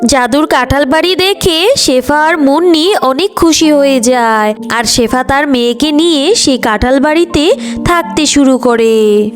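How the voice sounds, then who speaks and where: A woman narrating a children's cartoon story in a scripted storytelling style. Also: high voice.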